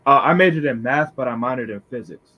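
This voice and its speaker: A man's voice: mid-range voice, animated, quick pace, highs cut off. A man on a livestream panel, making a point in a matter-of-fact way. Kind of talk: casual panel discussion.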